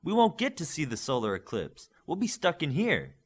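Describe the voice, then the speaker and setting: Man voicing a cocky, loud young superhero student. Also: a little high, wildly swooping pitch, moderate pace, breathy edge, voice pushed up, full character voice.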